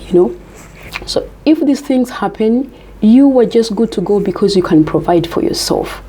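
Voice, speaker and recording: A woman's voice: medium pitch, expressive, normal speed, mellow, slight background noise, low-quality recording. A woman from Uganda, giving earnest, emphatic life advice to camera and repeating her key points.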